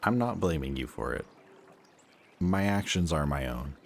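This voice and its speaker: A man's voice: extremely low pitch, expressive, moderate pace, creaky, a little hiss or hum, heavily acted. A man playing a reformed antihero and affectionate best friend in an intimate audio roleplay, speaking to the listener in a hesitant, confiding, conversational way. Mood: remorseful, sincere.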